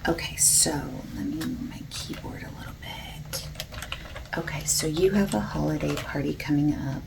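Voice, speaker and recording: A woman playing a friendly, patient bakery salesperson in a soft-spoken ASMR roleplay, often breathy and near a whisper, gently overexplaining. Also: heavily acted. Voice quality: low-pitched, creaky, soft. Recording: lo-fi hissy close recording, keyboard typing.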